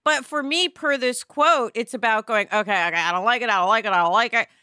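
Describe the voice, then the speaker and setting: A woman co-hosting a podcast in casual chat, speaking in an emphatic, expressive way. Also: on the high side, quick pace, smooth, no room sound, studio quality. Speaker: podcast co-host.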